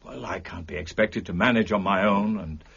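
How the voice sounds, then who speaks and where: An actor playing a fussy, eccentric gentleman of reduced means in a period radio drama, brusque and precise with formal diction. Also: fairly low pitch, normal speed, vocal fry, breathy edge, highs cut off, full character voice. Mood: pedantic.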